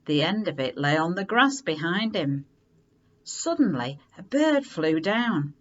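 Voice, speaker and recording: Slow, lively woman reading a children's story. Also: fairly low pitch, muffled recording. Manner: unhurried, animated, dramatic emphasis. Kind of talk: expressive read-aloud children's story narration.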